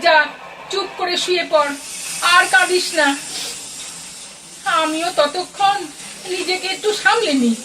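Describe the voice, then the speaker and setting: Radio play mother: actress as older woman, very high-pitched, plain-spoken, coaxing and fond, heard off-mic. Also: unhurried, long pauses, pushed and bright, full character voice. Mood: tender, coaxing. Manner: motherly scolding and coaxing in short colloquial bursts. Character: affectionate, teasing, plain-spoken older mother of a grown son. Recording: distant mic, very noisy audio.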